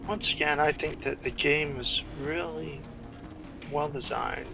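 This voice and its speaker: A man's voice: a little high, steady tone, unhurried, creaky, poor audio. A man giving casual, off-the-cuff commentary as he plays a video game, musing half to himself. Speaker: gamer giving playthrough commentary. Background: video game sound and music.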